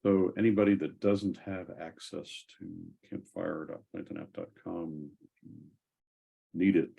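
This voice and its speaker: A man hosting an online technical discussion, explaining things casually in a gravelly, husky voice. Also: low-pitched, measured pace, warm tone, muffled recording. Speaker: host of a software community call. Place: online group call.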